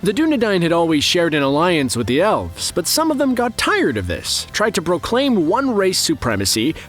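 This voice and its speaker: Wry, higher-pitched man with lively delivery. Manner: wry, playful, conversational. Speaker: presenter of a fantasy lore explainer video. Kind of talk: scripted narration with joking asides.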